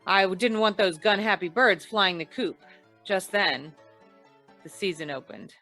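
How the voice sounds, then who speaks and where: A woman's voice: medium pitch, a little slow, over loud music, lightly acted. A woman playing a wry, hard-boiled insurance investigator, narrating an old-time radio noir in slangy style. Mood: tense, punchy.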